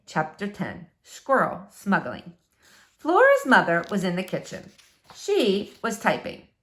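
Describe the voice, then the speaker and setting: A woman reading a children's novel aloud as expressive storybook narration. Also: medium pitch, takes it slowly.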